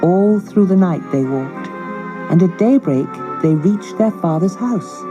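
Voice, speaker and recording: A woman narrating a children's storybook in a measured, expressive, scripted style. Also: lowish voice, highs cut off, music in the background. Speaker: audiobook narrator.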